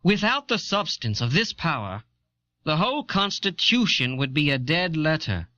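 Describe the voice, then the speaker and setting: A man's voice: fairly high pitch, big pitch swings, normal speed, pushed and bright, no room sound. A man playing a firm, reasoning founding-era statesman, reading a political essay formally and assertively on an old cassette. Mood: firm, assured. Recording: dull, dry old audio cassette.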